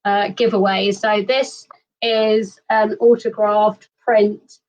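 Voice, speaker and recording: A woman hosting a live stream, upbeat and quick to laugh. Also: mid-range voice, level tone, recorded from a distance, dull audio. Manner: bright, giggly, upbeat. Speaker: host. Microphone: video call.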